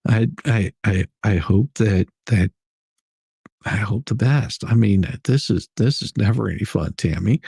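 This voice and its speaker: A man with a husky, creaky voice and an ordinary mid-range pitch hosts a livestream. He rambles in casual, sarcastic commentary, doubling back on his phrases. The sound is close and dry. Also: dark tone, no room sound. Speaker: livestream host.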